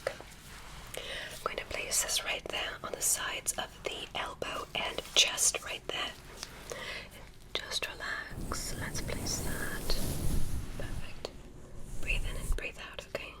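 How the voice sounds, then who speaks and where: A woman voicing a curious, polite female alien doctor who examines a human, speaking in a soft, slow, breathy whisper with gentle instructions, close to the mic. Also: close to an everyday voice, poor audio. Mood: curious, soothing. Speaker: ASMR creator. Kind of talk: ASMR medical roleplay.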